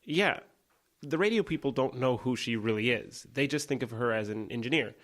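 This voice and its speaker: An actor playing a guarded young man in an audio drama, calm and matter-of-fact. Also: mid-range voice, moderate pace, natural voice.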